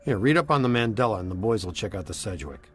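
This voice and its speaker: A man's voice: fairly low pitch, big pitch swings, quick pace, faint music behind. A man voicing a cocky, flirtatious ghost-hunting scientist in a video game, glib and sardonic. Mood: glib, assured. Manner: sardonic, glib, laid-back. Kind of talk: video game cutscene acting.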